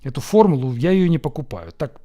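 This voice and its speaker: A man from Ukraine, hosting a question-and-answer show, musing on viewers' questions with frequent pauses and fillers. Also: on the high side, very animated, mellow. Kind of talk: Q&A monologue. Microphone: camera setup.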